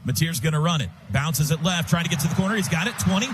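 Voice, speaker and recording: An American man working as a radio play-by-play announcer, calling live football in clipped phrases and getting excitable on big plays. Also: on the high side, quick pace. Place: stadium broadcast booth. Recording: clearly noisy live stadium radio broadcast.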